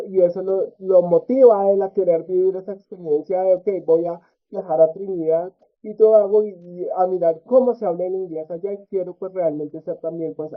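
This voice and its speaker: A man giving an academic research presentation at a conference, halting often with filler sounds and tag questions. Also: high-pitched. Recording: tinny phone-like audio.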